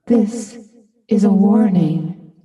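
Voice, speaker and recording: A woman playing a spirit of nature in a staged play reading, warning humans in solemn, measured phrases, ominous yet loving. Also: medium pitch, far from the mic. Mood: ominous calm. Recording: echoey hall reverb, loud music.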